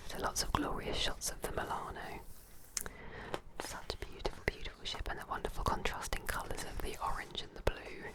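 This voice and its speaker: A woman in a soft, breathy, unvoiced whisper, giving gentle, chatty, admiring ASMR commentary at an unhurried pace. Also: rough recording. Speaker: ASMR host. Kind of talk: whispered ASMR commentary while leafing through an art book.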